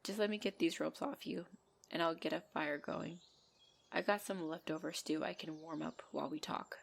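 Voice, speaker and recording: A woman's voice: low voice, steady tone, moderate pace, vocal fry. A woman voicing a possessive female centaur in an ASMR roleplay, bantering and commanding, with dry exasperation. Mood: softer, reassuring. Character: possessive female centaur, bossy, teasing, half-gentle captor.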